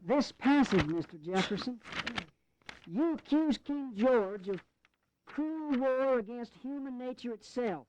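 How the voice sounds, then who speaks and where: An actor playing a haughty, indignant Southern delegate in a historical audio drama, speaking in pompous oratory, on a slightly dull recording. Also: male voice, extremely high pitch, animated, takes it slowly, a little mellow, a little hiss or hum, heavily acted.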